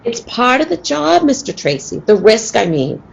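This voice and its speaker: A woman playing a sharp-tongued circus tiger trainer in a radio play, curt and challenging. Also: a little high, very animated, moderate pace, creaky, breathy edge, muffled recording, exaggerated voice. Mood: defensive. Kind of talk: old-time radio play acting.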